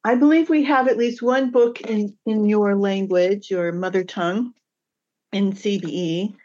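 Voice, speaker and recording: A woman's voice: medium pitch, fairly even intonation, measured pace, muffled recording. A woman hosting a podcast interview, gracious and affirming as she introduces and questions her guest. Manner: gracious, with soft affirming murmurs. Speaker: podcast host.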